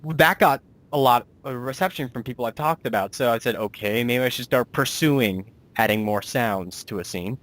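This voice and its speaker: A man's voice: medium pitch, moderate pace, few pauses, fairly bright tone. A man hosting a casual interview as a writer and producer, talking in a rambling, self-deprecating way.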